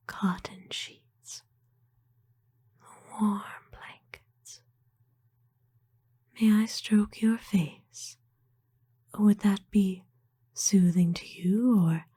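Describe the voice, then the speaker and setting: A woman acts out a doting, noble lady vampire in an ASMR roleplay. Her voice is a slow, breathy whisper, soft and mellow, with gentle, courtly phrasing that soothes. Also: fairly low pitch, full character voice. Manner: gentle, formal old-fashioned phrasing, soothing. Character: kind, doting, aristocratic female vampire owner.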